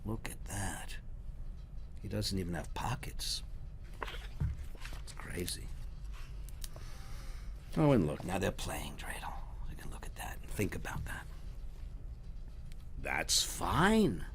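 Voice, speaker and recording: A man reading a children's story aloud in a dramatic, sometimes hushed storytelling manner. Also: low-pitched, unhurried, vocal fry, long pauses, in a whisper.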